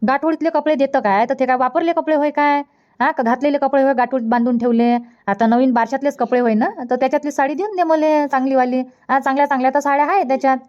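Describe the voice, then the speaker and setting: Cartoon village mother, played by a woman, high-pitched, rapid-fire, Vidarbha Marathi, thrifty, persistent adviser. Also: steady tone, a little mellow, natural voice. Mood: firm, practical. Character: thrifty, practical older village mother visiting her daughter. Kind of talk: cartoon comedy dialogue. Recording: dull lo-fi cartoon dub.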